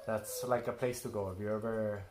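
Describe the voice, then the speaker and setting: Soft-trailing man from Thailand. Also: fairly low pitch, fairly even intonation, fairly quick, heavy background noise. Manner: hesitant, many fillers, trails off quietly. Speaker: co-host of a family channel. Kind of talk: casual chat.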